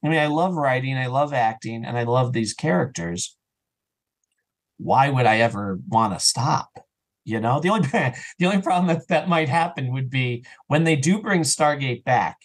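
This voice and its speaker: A man chatting casually and enthusiastically as an interview guest, rambling and animated, sometimes acting out bits of dialogue. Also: medium pitch. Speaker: interview guest, audio drama writer and actor.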